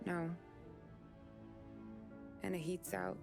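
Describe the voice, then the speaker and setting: A woman's voice: on the low side, little pitch movement, extremely slow, vocal fry, over music, exaggerated voice. An actress playing a tough but tender young working-class city woman, wry and frank, in a cinematic period game. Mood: coy, firm.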